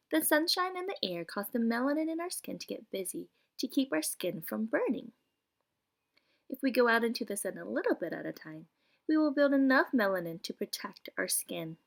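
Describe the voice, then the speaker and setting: A woman reads a children's picture book aloud like a preschool teacher, explaining things and putting questions to young listeners. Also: fairly high pitch. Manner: clear explanatory reading with questions posed to children.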